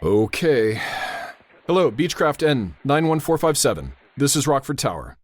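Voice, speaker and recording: An airport tower controller in a radio drama. He is a brisk, steady man working under pressure, with a medium-pitched voice and very varied intonation. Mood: calm, probing.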